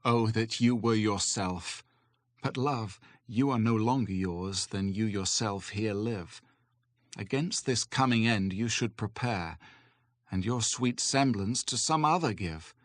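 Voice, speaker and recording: A man performs a dramatic recital of classic poetry. He takes it slowly, at a medium male pitch, and the recording has very little room sound. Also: no room sound. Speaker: poetry reader.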